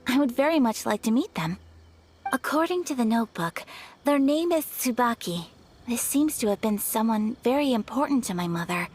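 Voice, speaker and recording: High-voiced, refined young noblewoman. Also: lively intonation, a little mellow, breathy edge. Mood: earnest, composed. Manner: formal, courteous, measured phrasing. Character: refined young noblewoman, polite, gentle, dutiful, reserved. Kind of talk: anime video game acting. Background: background music.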